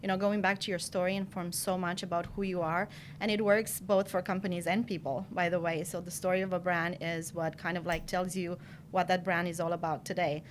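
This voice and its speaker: A woman's voice: on the low side, flat delivery, fairly quick, a little hiss or hum. A woman in her forties from Romania, a guest talking through her career in an earnest, reflective way with lots of "you know" fillers. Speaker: podcast guest, marketing director. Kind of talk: casual interview chat.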